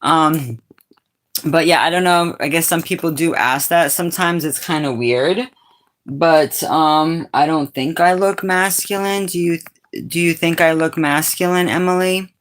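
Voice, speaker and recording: A middle-aged woman from Brazil with a raspy, fairly low voice, chatting casually with viewers on a livestream and calling them sweetheart and darling. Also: a little slow. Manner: laughs often, calls viewers sweetheart and darling. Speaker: livestream host. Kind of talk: casual chat answering viewers.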